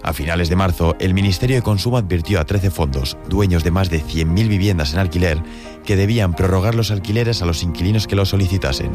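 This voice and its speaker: A man reading scripted documentary narration for radio. His voice is very deep. Music plays clearly beneath him. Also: fast. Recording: clear music bed underneath.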